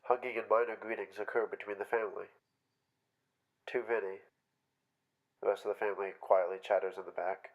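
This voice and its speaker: A man with a low-pitched voice narrates a staged play reading. He reads out the stage directions as scripted narration. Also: level tone, brisk, long pauses, dull audio.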